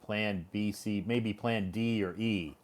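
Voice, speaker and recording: A man's voice: lowish voice, fairly even intonation, takes it slowly. A middle-aged man hosting a casual interview, chatty and rambling with frequent restarts. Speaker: host.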